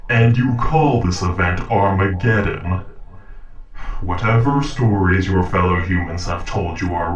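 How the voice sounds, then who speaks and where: A man playing a calm, earnest young robot captor in an audio roleplay, speaking formally and precisely. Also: sits low, reverberant, far from the mic, highs cut off. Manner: formal, measured, polite, precise. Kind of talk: scripted audio roleplay drama.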